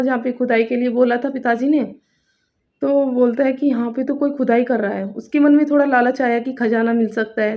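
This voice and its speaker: A woman with a fairly high voice tells a story straight to her viewers. Her delivery is informal and builds suspense, with phrases repeated as she goes. The sound is dull and lo-fi. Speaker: storytelling coach and presenter. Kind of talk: spoken storytelling, explaining to viewers. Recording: dull lo-fi recording.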